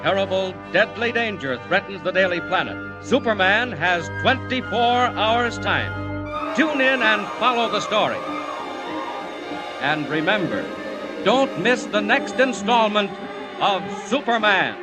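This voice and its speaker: A man's voice: high-pitched, sing-song, measured pace, low-quality recording. A man announcing a 1940s radio serial from a script, dramatic and suspenseful. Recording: old radio serial, music overlay.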